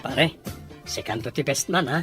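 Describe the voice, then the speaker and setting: A man's voice: medium pitch, normal speed, fairly bright tone, faint music behind, close to an everyday voice. A man playing a respectful young groom-to-be in an audio drama, with an Ilocano accent. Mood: friendly. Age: young adult.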